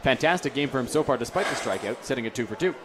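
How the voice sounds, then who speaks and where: A man's voice: medium pitch, lively intonation, very fast. A man giving enthusiastic, emphatic color commentary on a live baseball game at an outdoor park. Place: outdoor ballpark. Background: crowd noise.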